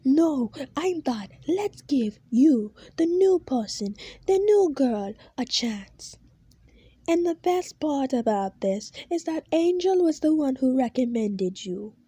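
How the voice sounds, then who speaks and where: A woman voicing a cruel, hot-tempered male boss who shouts and swears. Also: medium pitch, animated, slow, pauses often, husky, no echo, clean studio sound. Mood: furious.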